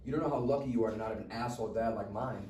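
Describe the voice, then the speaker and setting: A man's voice: lowish voice, racing, close to an everyday voice. A man playing a cocky, bitter, foul-mouthed rich schoolboy with a sarcastic manner in a live table read. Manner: sarcastic, wisecracking. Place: live reading event in a room. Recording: echoey room, distant mic, faint noise.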